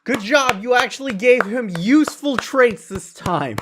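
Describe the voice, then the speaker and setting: A man hosting a gaming video, giving casual, excitable commentary with lots of jokes and self-mockery. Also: very high voice, measured pace, over music. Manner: excitable, jokey, self-mocking.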